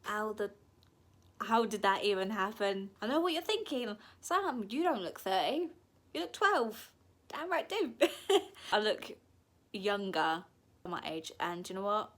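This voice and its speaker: A woman's voice: mid-range voice, measured pace. Chatty woman in her thirties. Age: 30s. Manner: chatty and candid, with bursts of excited laughter. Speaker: vlogger. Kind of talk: casual reflective vlog.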